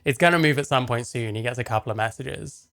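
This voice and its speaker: A man's voice: medium pitch, fast talker, creaky, heavy background noise. A man doing casual live stream commentary, talking in a rambling, sarcastic, sweary way. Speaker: streamer reacting to a video.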